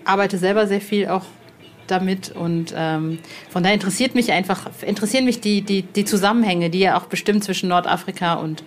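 A woman talking casually as a podcast interviewer in an exhibition room, rephrasing haltingly as she thinks. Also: mid-range voice, fairly even intonation. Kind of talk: casual, spontaneous conversation. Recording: clearly noisy indoor ambience.

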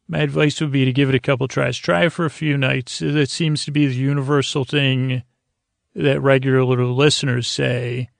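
A man's voice, heavily creaky and gravelly at a middling pitch, recorded dry with almost no room sound. He hosts a bedtime show in a droning, roundabout way, stumbling over words and circling back as he goes. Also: no echo. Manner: droning, halting, circling back with stammered repeats. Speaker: podcast host. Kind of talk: rambling, meandering bedtime monologue meant to lull listeners to sleep.

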